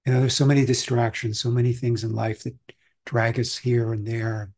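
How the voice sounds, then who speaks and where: Man, husky voice, mid pitch, calm conversational meditation teaching, reflective. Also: normal speed. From Austria. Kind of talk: Zen dharma talk.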